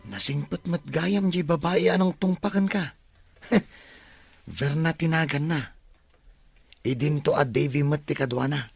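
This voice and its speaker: A man's voice: a little high, measured pace, gravelly, long pauses, breathy edge, soft background music, heavily acted. An actor playing a loyal, upbeat young man, a best friend, in a radio drama. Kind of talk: Ilocano radio drama acting.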